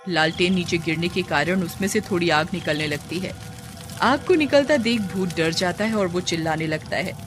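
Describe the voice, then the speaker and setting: A woman's voice: fairly low pitch, moderate pace, faint music behind. A woman giving scripted narration for a scary cartoon story.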